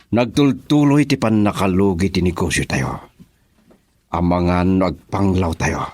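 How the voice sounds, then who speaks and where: An actor playing a weary, worried middle-aged businessman father in a radio drama, with a gravelly, husky voice and a Filipino accent. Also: low-pitched, dry recording, full character voice.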